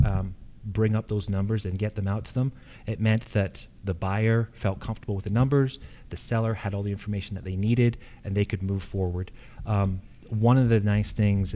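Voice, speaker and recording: An American man speaking off the cuff as a candidate at a public forum, explaining things in a halting way. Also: fairly low pitch, warm tone, slight background noise. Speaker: incumbent candidate for county assessor. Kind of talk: extemporaneous answers at a candidate forum.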